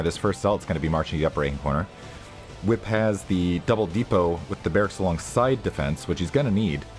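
A man with a sore, hoarse throat giving casual play-by-play commentary on a strategy game match from home, chatty and rambling between calls. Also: sits low. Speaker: game caster. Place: home room, daughter nearby.

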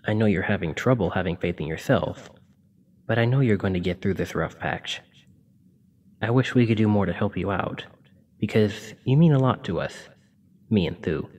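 A man playing a kindly, apologetic sleep paralysis demon in an ASMR roleplay, speaking in a gentle, reassuring, informal way. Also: fairly low pitch, moderate pace, pauses often, breathy edge, far from the mic.

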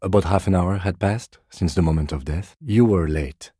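A man voicing a composed, methodical police detective in a video game, with a measured, restrained, deadpan delivery. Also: sits low, breathy edge, dry recording, clean studio sound. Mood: calm, probing. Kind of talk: scripted game dialogue.

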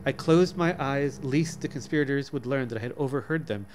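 A man's voice: medium pitch, fairly quick, slight background noise. A man in his forties reading a short story aloud expressively, sometimes stumbling and rereading words. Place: small office, indoors. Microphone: desk microphone in front of him.

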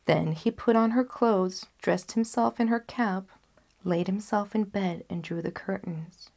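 A young adult woman reading a fairy tale aloud in an expressive storytelling style. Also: mid-range voice, measured pace, creaky.

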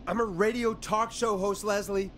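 A man's voice: high voice, steady tone, normal speed, fairly bright tone, slight background noise. A man playing a jaded, sarcastic late-night radio DJ in a video game. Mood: skeptical, irritated.

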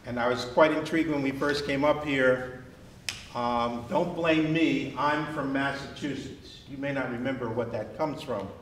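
A man from New Jersey with an American accent, giving an educational history talk in a measured, deliberate manner with emphatic pauses on key words. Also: mid-range voice, a bit bright. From New Jersey, United States. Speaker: living-history presenter in Civil War uniform. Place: wood-panelled memorial hall, indoors, with student audience. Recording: distant mic, echoey room, background noise.

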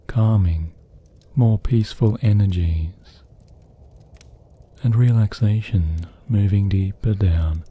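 A man narrating a guided sleep meditation in a soft, breathy, soothing voice, gently hushed, with long pauses between phrases. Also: fairly low pitch.

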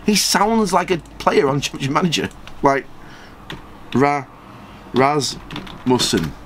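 A man in his thirties chats his way through a football management game, reading comments aloud. His pitch swings up whenever something surprises him. A faint background hum sits under the recording. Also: very animated, a bit bright. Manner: chatty, rambling, quick jumps in pitch when surprised. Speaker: gaming channel host.

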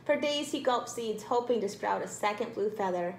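A woman's voice: mid-range voice, lively intonation, normal speed, vocal fry. A middle-aged woman reading a storybook aloud to children expressively, recorded in a small room. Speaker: storybook reader. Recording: roomy, some background noise.

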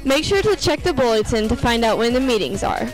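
Teen girl doing a school news bit in the gym, high-ish voice, music behind. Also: very animated, brisk, low-quality recording. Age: teens. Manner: plain reading of club details. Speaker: student reporter. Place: school gymnasium, indoors. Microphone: handheld mic.

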